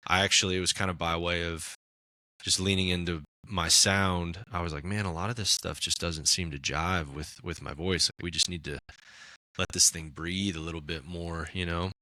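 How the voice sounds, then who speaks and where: A raspy-voiced man in casual podcast chat, talking about his music with lots of fillers and restarts. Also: deep voice, bright, forceful tone, dry recording. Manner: rambling, many fillers and restarts. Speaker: musician guest.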